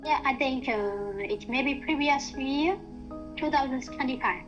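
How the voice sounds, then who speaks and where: Hesitant high-voiced Thai woman. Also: unhurried, highs cut off, music in the background. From Thailand. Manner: hesitant, searching for words with many fillers. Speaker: guest, university student. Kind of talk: casual language-practice chat.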